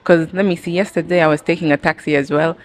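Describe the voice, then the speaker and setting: A woman's voice: deep voice, fairly even intonation, quick pace. A young woman answers interview questions as a pageant winner, switching languages casually and telling stories with acted-out dialogue. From Uganda. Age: young adult. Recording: clearly noisy, with ambient background sound.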